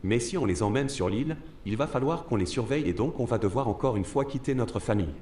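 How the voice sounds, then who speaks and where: In a noisy, echoing room, a man plays a doubtful recruit. He speaks very quickly, as in an audio drama. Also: medium pitch, expressive, darkish tone, close to an everyday voice. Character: doubtful rank-and-file recruit questioning the plan. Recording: echoey, noisy, distant room sound.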